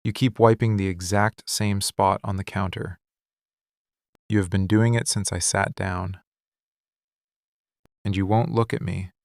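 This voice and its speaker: A menacing mafia boss acted out by a man speaks low and gravelly, close on a dry mic. He is controlled and deliberate, possessive and protective, giving quiet commands in an intimate ASMR audio drama. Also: steady tone, dry recording. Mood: suspicious, probing. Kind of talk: boyfriend ASMR roleplay, scripted dramatic monologue.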